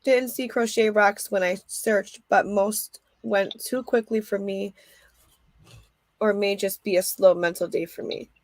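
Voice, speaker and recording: A woman co-hosting a live crochet show, reading out viewer comments with quick agreeing replies, a little stuffy and sniffly. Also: medium pitch, steady tone, dry recording. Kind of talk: casual chat on a livestream.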